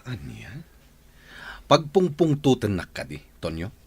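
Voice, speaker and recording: A man's voice: fairly low pitch, huge pitch swings, a little slow, no room sound, exaggerated voice. A man with an Ilocano accent playing an irritable, envious boss in a radio comedy drama. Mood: suspicious. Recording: clearly noisy background.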